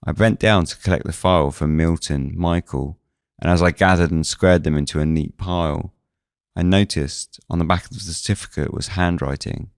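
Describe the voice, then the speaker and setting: A man's voice: low-pitched, steady tone, moderate pace, no echo, clean studio sound. A man reading scripted first-person suspense narration as the narrator of a fiction podcast.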